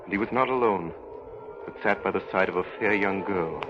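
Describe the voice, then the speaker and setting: A man as low, grave radio storyteller. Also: fairly quick, natural voice. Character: grave storyteller narrating an old miser's memories. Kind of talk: 1930s radio drama narration. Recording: very noisy, muffled 1930s radio recording.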